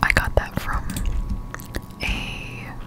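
A woman whispering casual ASMR game commentary close to the microphone while chewing gum. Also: drawn-out words. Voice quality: unvoiced whisper. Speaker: streamer playing a video game. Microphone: close-up desktop studio microphone.

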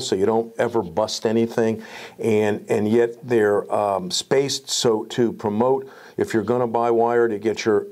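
A man's voice: mid-range voice, darkish tone. A man in his sixties giving an informal product presentation to camera as a company presenter. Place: medium-sized indoor room with acoustic wall panels.

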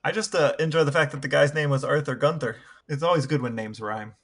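A man's voice: a little high, fast talker. A man co-hosting a podcast, explaining things in casual conversation. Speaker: podcast co-host.

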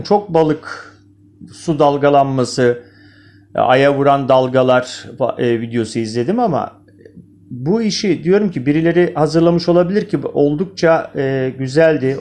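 Opinionated man, slightly higher voice. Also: a little mellow. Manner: opinionated, rhetorical questions, addresses viewers directly. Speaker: video host, amateur presenter. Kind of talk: informal video commentary.

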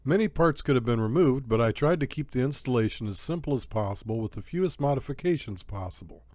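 A man's voice: medium pitch, animated, fast, darkish tone, no echo, clean studio sound. A man reading scripted technical narration as an electronics tutorial narrator, in a methodical, matter-of-fact way.

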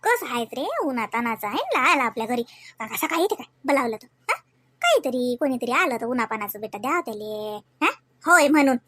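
A woman's voice: on the high side, animated, a little fast, fairly bright tone, no room sound. A woman playing a kindly, talkative, scolding village mother in a comedy cartoon, with a Varhadi Marathi accent. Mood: earnest, homely. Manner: homely, talkative, emphatic.

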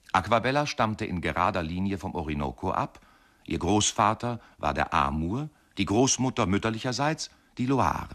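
An actor narrating a radio play as a wry, courteous older husband, measured and dryly ironic. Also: male voice, low-pitched, a bit bright, exaggerated voice. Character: wry, courteous older husband reminiscing about his marriage. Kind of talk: radio play monologue, first-person narration.

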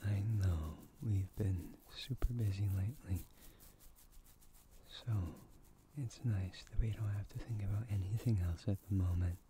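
A man playing a tender, playful young boyfriend in an intimate ASMR roleplay, speaking in a soft, breathy, hushed voice that often slips into whispers. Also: on the low side, measured pace, a little hiss or hum, close to an everyday voice. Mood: playful, cooing.

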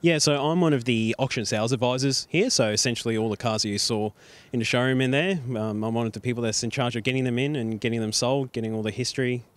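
A young man is interviewed in a showroom and answers into a handheld mic. He talks quickly and casually about his work. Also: medium pitch. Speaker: auction sales advisor. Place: indoor showroom.

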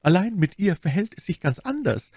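A man's voice: sits high, big pitch swings, brisk, a little mellow, breathy edge, dry recording, studio quality, voice pushed up, heavily acted. A man voicing a scheming noblewoman who feigns innocence in an audiobook, with polished, formal, artfully naive speech. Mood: pleading. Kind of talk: audiobook character dialogue.

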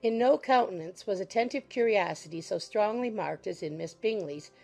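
Gravelly-voiced woman narrating a classic novel. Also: medium pitch, darkish tone, low-quality recording. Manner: measured, formal storytelling. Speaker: audiobook narrator.

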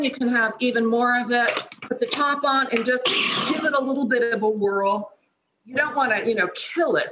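Middle-aged female guest, chatty cooking demo, higher register, roomy kitchen echo. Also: a bit bright, a little hiss or hum. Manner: chatty, step-by-step instructional. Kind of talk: cooking demonstration on a morning show. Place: home kitchen, indoors.

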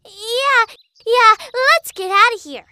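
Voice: extremely high pitch, lively intonation, slow, very noisy, full character voice. An actor voicing a timid, flustered young giraffe in a children's cartoon, hesitant and stammering. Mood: relieved.

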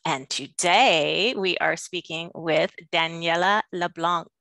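A middle-aged woman hosting an interview over a video call, asking gentle, measured questions. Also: lowish voice, vocal fry, dry recording. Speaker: podcast host. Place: home room with window. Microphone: video call, webcam mic.

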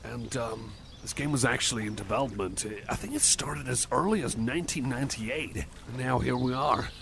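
A man's voice: mid-range voice, normal speed, gravelly, a bit bright, slight background noise. A man playing a shy, awkward, eager-to-please cyborg buddy in an ASMR roleplay, rambling to the listener in a hesitant, stammering, apologetic way.

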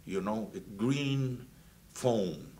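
A man, from Venezuela and raised in Guatemala, recalling his student years in a halting way with many fillers and restarts. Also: on the low side, darkish tone. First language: Spanish. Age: 70s. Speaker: alumnus interviewee. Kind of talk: reminiscing interview. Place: indoors, plain backdrop.

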